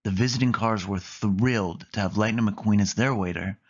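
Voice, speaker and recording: A dull, muffled recording carries a man reading a children's picture book aloud. His voice sits on the low side, in a storytime narration style. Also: normal speed. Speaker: storybook reader.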